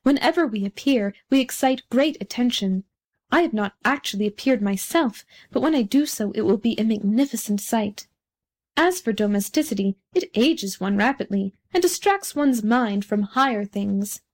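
A woman's voice: a little high, lively intonation, normal speed. A woman voicing a pompous, vain storybook rocket. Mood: self-important. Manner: pompous, condescending. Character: vain, haughty, boastful male firework rocket. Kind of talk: storybook character reading.

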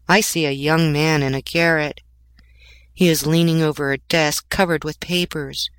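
A woman playing a sorrowful, gentle male statue prince in a storybook, speaking in a measured, solemn, imploring way. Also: low-pitched, dry recording. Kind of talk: storybook character voice.

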